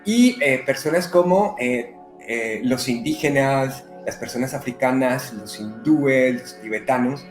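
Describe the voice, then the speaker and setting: A man's voice: medium pitch, faint music behind. Colombian-raised man, echoey room. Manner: unhurried, many "eh" pauses. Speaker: medium and psychotherapist guest. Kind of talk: conversational interview. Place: living in Brazil.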